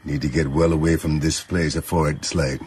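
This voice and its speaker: A man's voice: very deep voice, flat delivery, moderate pace, a little mellow, slight background noise. An actor playing a loyal, wary Jamaican boatman and guide in a radio drama, plain-spoken and deferential, with a Jamaican accent. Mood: calm, practical.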